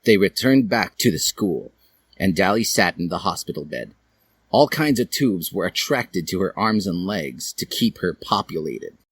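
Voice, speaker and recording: A man's voice: low voice, animated, normal speed, husky, no echo. A man reading a comic fan fiction story aloud as a theatrical narrator.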